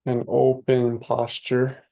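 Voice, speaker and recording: A man leading a guided meditation as a teacher, speaking soothingly in short, unhurried phrases with long pauses. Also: medium pitch, monotone, gravelly, dark tone.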